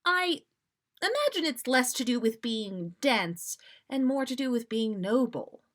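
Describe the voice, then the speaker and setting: A woman's voice: a little high, sing-song, a little slow, fairly bright tone, voice pushed up. A woman voicing a stern, no-nonsense storybook mother with an exasperated, firm, matter-of-fact manner. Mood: measured. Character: stern, no-nonsense, scolding mother of troublesome twins.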